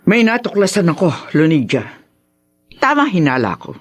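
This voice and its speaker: A woman's voice: lowish voice, big pitch swings, moderate pace, breathy edge. A woman playing a haughty, meddling middle-aged mother, scornful and scolding in Filipino radio drama style. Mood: indignant, urging.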